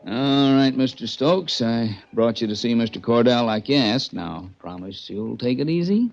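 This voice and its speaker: An actor playing a dim, gentle-mannered hulking male orderly in a 1940s radio drama, slow-witted and placating. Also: mid-range voice, vocal fry, over music, close to an everyday voice. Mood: dutiful. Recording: muffled 1940s radio recording.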